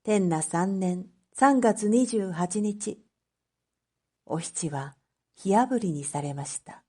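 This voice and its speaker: A woman narrating a scripted story as a teacher for language learners, reading carefully in clear phrases. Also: mid-range voice, lively intonation, slow pace, warm tone. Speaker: teacher narrating read-aloud material.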